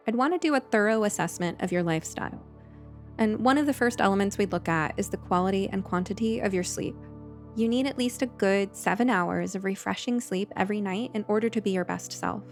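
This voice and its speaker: Woman therapist host reading an ad. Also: medium pitch. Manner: measured, direct address to the listener. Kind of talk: host-read advertisement. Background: faint music.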